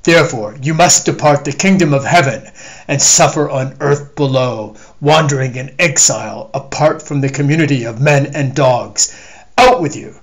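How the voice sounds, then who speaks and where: A man voicing a stern, wrathful male God who hands down commandments in slow, commanding proclamations. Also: medium pitch, close to an everyday voice.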